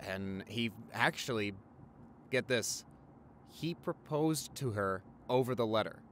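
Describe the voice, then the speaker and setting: A man's voice: mid-range voice, animated, slow pace, fairly bright tone. Man playing a tender boyfriend, car noise behind. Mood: fond, reminiscing. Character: tender, affectionate doctor boyfriend in his forties. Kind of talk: intimate boyfriend roleplay audio, conversational storytelling. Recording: car ride ambience behind the voice.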